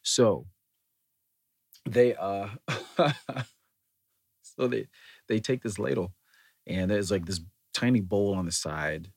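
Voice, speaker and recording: A man's voice: on the low side, slow, pauses often, a little mellow, husky. A man casually telling a rambling personal anecdote, often correcting himself and chuckling as he goes. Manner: rambling, self-correcting storyteller.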